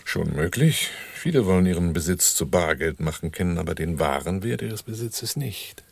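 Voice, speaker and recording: An actor playing a cool, laconic killer and former merchant in a radio crime drama, speaking tersely with a gravelly, husky voice. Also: male voice, deep voice, expressive, normal speed, dry recording, heavily acted. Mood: laconic, musing. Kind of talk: German radio crime drama acting and narration.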